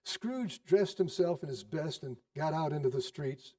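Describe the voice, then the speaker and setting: A man narrating a storybook tale theatrically, expressive, savouring repetitions and pauses. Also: a little high. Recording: distant microphone.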